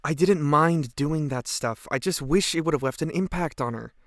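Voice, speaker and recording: A man's voice: on the high side, moderate pace, no room sound, clean studio sound, natural voice. A man acting a guilt-ridden, earnest teenage boy giving hesitant, reflective testimony in an audio drama. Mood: regretful. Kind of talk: audio drama monologue, police interview testimony.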